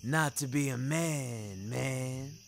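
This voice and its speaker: A man's voice: mid-range voice, slow pace, vocal fry, a little hiss or hum. A man voicing a laid-back, showy jazz-loving wolf with a theatrical, melodious delivery in a fiction podcast. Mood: grandiose, teasing.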